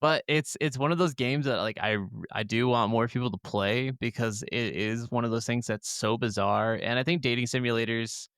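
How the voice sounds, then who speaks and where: Clear-voiced man in casual podcast chat. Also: medium pitch, a bit bright, no room sound, studio quality. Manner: casual, conversational, some swearing. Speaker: podcast co-host.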